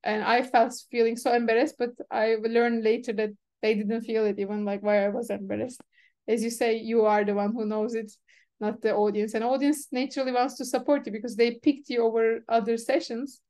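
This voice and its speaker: A woman whose first language is Turkish, hosting a webinar and chatting quickly with many fillers over a video call. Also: fairly high pitch, little pitch movement, darkish tone. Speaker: webinar organizer. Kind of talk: casual webinar chat.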